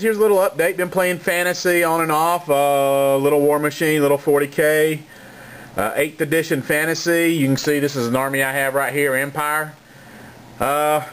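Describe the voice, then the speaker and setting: A man's voice: medium pitch, fairly bright tone. A man with a cold and a congested voice, giving a casual, rambling update to his channel's viewers, frank and full of fillers. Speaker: hobby gaming channel host. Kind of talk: casual hobby update to camera.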